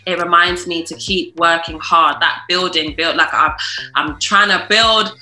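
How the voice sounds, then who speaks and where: Chatty woman, bright voice. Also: on the low side, steady tone, unhurried, roomy sound, rough recording. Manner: chatty, lots of fillers. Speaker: musician guest. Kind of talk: casual interview answers.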